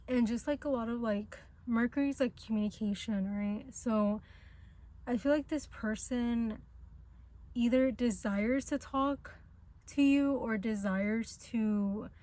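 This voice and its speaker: A woman who is not a native English speaker gives a casual tarot reading in a gentle, reassuring and hesitant way, with many fillers. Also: fairly high pitch. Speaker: tarot reader.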